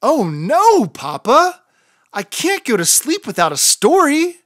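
Storybook little chick, female, played by a woman: impulsive, excitable, pleading, with high leaps in pitch. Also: slow, no room sound, clean studio sound, exaggerated voice. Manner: excitable, pleading, blurts out warnings.

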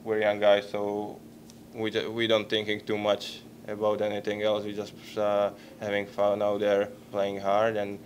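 A young man in his twenties with a Czech accent, answering postgame questions at a press table microphone, halting and searching for words. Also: fairly low pitch, completely flat delivery, a little hiss or hum. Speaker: hockey player at a postgame press conference. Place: press conference room.

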